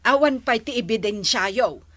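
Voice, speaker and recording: A woman's voice: on the high side, lively intonation, unhurried, bright, forceful tone, no echo, heavily acted. A woman with a Filipino accent acting a sensible, doubting village official in an Ilocano comedy drama. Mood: cautious.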